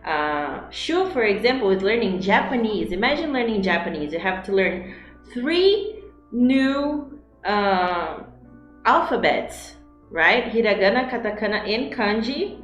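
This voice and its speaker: A woman's voice: mid-range voice, sing-song, unhurried, highs cut off. A woman from Brazil with a Brazilian Portuguese accent, co-hosting an English-teaching livestream and explaining points in an upbeat way. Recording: roomy echo with faint music.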